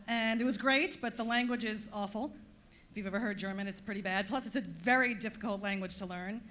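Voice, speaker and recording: A woman's voice: on the high side, a bit bright, a little hiss or hum. A woman from Long Island, New York, with a Long Island accent, performing a stand-up comedy set in a club, delivering dry, self-deprecating punchlines. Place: comedy club stage with audience.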